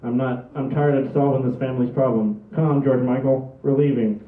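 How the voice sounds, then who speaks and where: Comedy read: man as exasperated dry family man, mid-pitched, reluctant, on a thin phone-like recording. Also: fairly even intonation, fairly quick, slight background noise, close to an everyday voice. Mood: weary. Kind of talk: comedy script table read. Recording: muffled phone-like sound, echoey distant room.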